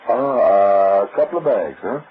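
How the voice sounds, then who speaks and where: A man's voice: lowish voice, lively intonation, moderate pace, a little hiss or hum, close to an everyday voice. Man acting a hard-boiled radio private eye, muffled old recording. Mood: sly, cajoling. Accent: American. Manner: brusque, wisecracking, slangy. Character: hard-boiled, wry, prying male private detective. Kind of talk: old-time American radio detective drama acting.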